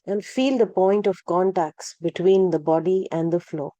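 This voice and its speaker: A woman leading a guided relaxation practice, speaking calmly and gently, with unhurried instructions and long pauses. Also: fairly low pitch, no room sound, dull audio. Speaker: yoga nidra guide. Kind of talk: guided meditation narration.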